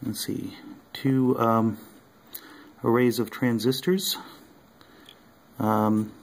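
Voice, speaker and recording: A man casually showing his electronics project at a home workbench, talking haltingly with many ums and uhs. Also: medium pitch, level tone, a little hiss or hum. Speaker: hobbyist maker.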